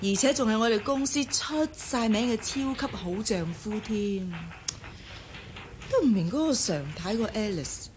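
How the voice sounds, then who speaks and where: An actress playing a gossipy, animated young office worker in a Hong Kong Cantonese radio drama. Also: female voice, a little high, unhurried, noisy background. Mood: teasing. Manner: animated, teasing, coy.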